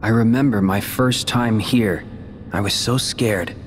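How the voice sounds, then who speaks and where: A young man voicing a calm, earnest hero in a video game, measured and sincere. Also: on the low side, in a large hall, a little hiss or hum, natural voice. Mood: earnest, reflective.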